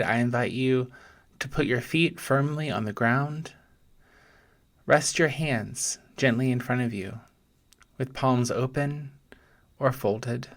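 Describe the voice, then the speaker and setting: A man speaks very slowly in a medium-pitched voice, as a pastor leading a guided meditation. His delivery is gentle and deliberate, with pauses between short phrases as he narrates scripture as an imagined scene.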